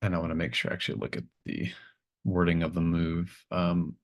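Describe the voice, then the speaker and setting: A man narrating a tabletop horror game as game master, improvising in casual, halting phrases. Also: low voice, level tone.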